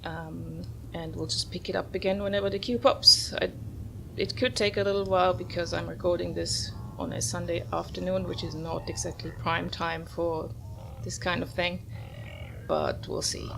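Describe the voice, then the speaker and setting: A woman's voice: fairly low pitch, steady tone, normal speed, creaky, noisy background. A woman hosting a gameplay video, giving casual commentary as she plays. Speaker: gamer, host of the video series. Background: soft game music.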